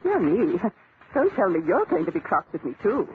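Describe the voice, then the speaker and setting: An actress playing a haughty, scheming middle-aged aristocrat, imperious and affectedly genteel, in old-time radio drama style. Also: female voice, medium pitch, huge pitch swings, normal speed. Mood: affronted. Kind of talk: 1940s radio acting. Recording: tinny, noisy old-time radio recording.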